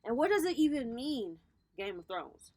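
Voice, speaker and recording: A woman's voice: on the high side, very animated, moderate pace, mellow. Sassy, playful woman in her thirties. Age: 30s. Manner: sassy, playful, swears casually. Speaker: tarot reader and spiritual coach. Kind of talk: casual tarot reading vlog.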